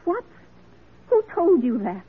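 A woman's voice: very high voice, big pitch swings, slow, phone-quality sound, exaggerated voice. An actress playing a genteel, compassionate, determined lady reformer in an old-time radio drama, earnest and insistent. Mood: indignant. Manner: earnest, insistent, well-mannered. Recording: thin vintage radio recording.

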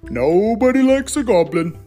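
A man's voice: high voice, very animated, measured pace, warm tone, soft background music, voice pushed up. A man voicing a glum hill troll in a children's storybook, blunt and cautioning. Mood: warning.

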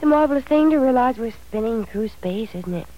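An actress playing a dreamy, affectionate young wife who talks in a wistful, musing way, in 1940s radio style. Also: female voice, on the high side, animated, fairly quick, lo-fi sound, a little hiss or hum, lightly acted.

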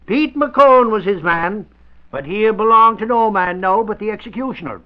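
An actor in a 1950s radio drama playing a blustery, excitable middle-aged investigator who talks in emphatic, colloquial bursts. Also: male voice, high-pitched, moderate pace, lightly acted. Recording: dull vintage radio recording.

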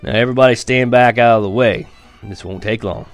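A man voicing a calm, firm, kindly farmer father in a storybook reading, plain-spoken. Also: mid-range voice, brisk, soft background music, close to an everyday voice. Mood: steady.

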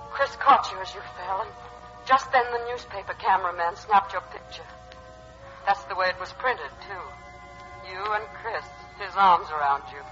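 Guy doing a high sharp nagging voice in your head, old radio drama narration, music under it, muffled. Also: close to an everyday voice. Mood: accusing. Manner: addresses the listener directly, dramatic, accusing. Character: insistent inner voice narrating a troubled widow's memories.

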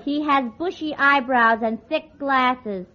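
A brassy young woman putting on a mysterious fortune-teller act, dragging her words out slow. Her voice is high and sharp. The sound is a dull vintage radio broadcast with faint hiss. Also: full character voice. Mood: mystic. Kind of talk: 1940s radio comedy acting.

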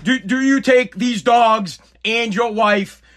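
A man's voice: extremely high pitch, takes it slowly, a bit bright. A man, hosting a live podcast in a blunt, profane rant. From Boston area, New England. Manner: ranting, blunt, profane, repeats himself. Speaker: podcast host.

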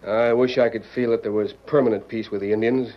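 A man's voice: on the low side, steady tone, a little fast, natural voice. Man acting an earnest colonel on muffled radio. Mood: concerned. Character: earnest, dutiful male colonel in a Western. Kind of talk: old-time radio acting. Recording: muffled vintage radio recording.

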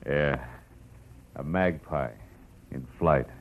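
Low-voiced, hardboiled radio private eye. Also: male voice, drawn-out words, a little hiss or hum, full character voice. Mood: wry. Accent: American. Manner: wry, clipped, hardboiled. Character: tough, weary, wisecracking male private detective. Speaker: lead actor and narrator. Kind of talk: 1940s-50s radio noir acting, hardboiled narration. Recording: old muffled radio recording with music behind.

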